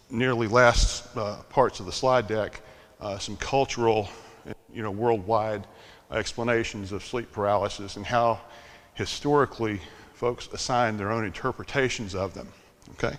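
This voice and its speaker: An older man giving a conference talk in a slightly monotone, relaxed, self-deprecating way, with plenty of fillers. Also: on the low side. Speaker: conference speaker. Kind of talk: conference presentation. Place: conference hall. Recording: long hall reverb.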